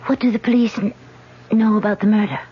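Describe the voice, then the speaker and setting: An actress playing a hesitant politician wife who hides a secret, in a radio drama. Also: female voice, mid-range voice, fairly even intonation, quick pace, husky. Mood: guarded. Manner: hesitant, guarded. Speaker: political candidate. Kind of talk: 1970s radio drama acting. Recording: dull old radio recording with music.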